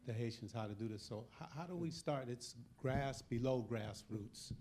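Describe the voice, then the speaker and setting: A man's voice: mid-range voice, moderate pace, darkish tone, slight background noise. An older man from Oakland, California, asking an earnest, eager question from the audience at a panel. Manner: earnest, eager, self-deprecating.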